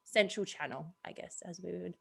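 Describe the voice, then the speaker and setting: A woman co-hosting a podcast, talking things through in relaxed conversation. She laughs often and gropes for words with soft fillers as she explains. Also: on the low side, moderate pace, vocal fry, breathy edge. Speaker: podcast co-host. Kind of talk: casual chat, explaining spiritual ideas.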